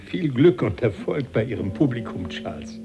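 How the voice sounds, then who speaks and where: An actor playing an older man, Darwin's fond, jovial old teacher, who talks warmly and chuckles. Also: mid-range voice, sing-song, moderate pace, music in the background. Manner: warm-hearted, chuckling, confiding. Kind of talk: 1980s German radio play acting.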